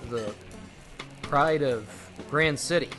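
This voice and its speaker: An actor playing a wary, composed speakeasy owner in an old-time radio drama, clipped and polite. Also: male voice, medium pitch, slow, music in the background, natural voice.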